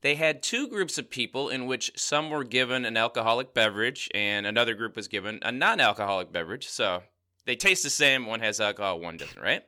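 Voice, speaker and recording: Male podcast co-host, animated and bright-toned, chatty explainer who laughs a lot. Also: mid-range voice, fairly quick. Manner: lively explainer, laughs often, says "right?". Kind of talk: casual science podcast chat.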